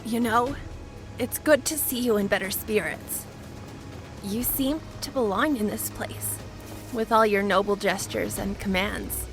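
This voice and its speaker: A woman playing a spirited female airship pilot in a fantasy audio story, with a wry, gently teasing manner. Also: medium pitch, a little slow, music in the background, close to an everyday voice. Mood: sincere. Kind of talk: fantasy audio drama acting.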